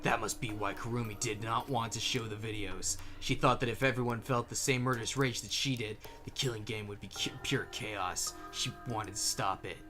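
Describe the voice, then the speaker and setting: A man's voice: on the low side, fairly even intonation, normal speed, hardly any pauses, close to an everyday voice. A man playing an earnest, thoughtful young student detective in an anime game fandub. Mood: earnest, reasoning. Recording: faint music behind.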